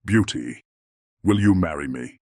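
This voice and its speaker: A man voicing a gentle, lovesick beast with a gruff voice and a mournful, formal manner in a fairy tale audiobook. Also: low voice, animated, unhurried, dry recording, studio quality, full character voice. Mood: solemn, longing.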